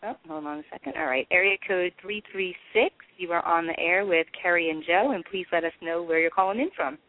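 High-voiced male call-in host, tinny line. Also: a little fast, dry recording. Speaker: radio show host. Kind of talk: casual call-in show chat. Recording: tinny phone-like band.

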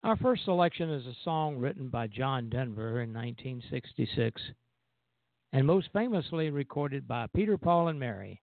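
A man's voice: medium pitch, expressive, moderate pace, vocal fry, no room sound. A man hosting a music radio show, reading scripted notes on song history over a thin connection. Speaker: radio show host introducing records. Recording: thin phone-like audio.

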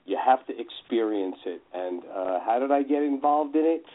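A man's voice: medium pitch, expressive, normal speed. A man with a New Jersey accent telling his story as an interview guest, often circling back on his phrases. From northern New Jersey, US. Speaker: guest author. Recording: dull lo-fi radio call.

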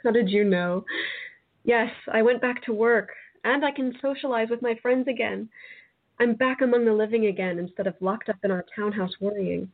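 A woman's voice: a little high, fairly even intonation, normal speed. A woman playing an earnest, frank and kindly young friend in a radio play. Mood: grateful. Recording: tinny phone-like audio.

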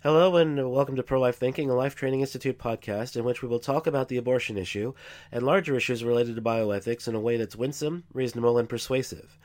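A man hosting a podcast, congested from a cold, explaining arguments in a measured way with frequent fillers. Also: medium pitch, darkish tone, soft background music. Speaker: podcast host. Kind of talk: scripted-sounding podcast commentary.

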